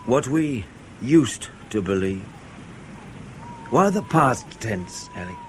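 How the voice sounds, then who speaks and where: A male intelligence officer in a radio drama, with a mid-pitched, widely moving voice over faint noise. He questions in a measured, pointed way, controlled and dogged. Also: sing-song. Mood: probing, grave.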